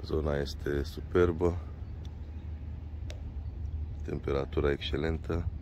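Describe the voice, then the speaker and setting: A man's voice: very deep voice, flat delivery, a little mellow. A man hosting a fishing vlog, talking casually to the camera from a sailboat outdoors, in short descriptive phrases with hesitant fillers. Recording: noisy outdoor recording.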